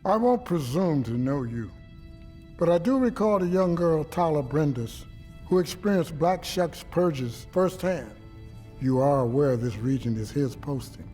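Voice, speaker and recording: A wary outlaw crew chief in a game cutscene. His voice sits a little high and mellow, deliberate and measured, over soft music. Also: lively intonation. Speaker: outlaw crew leader character. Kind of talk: video game cutscene acting.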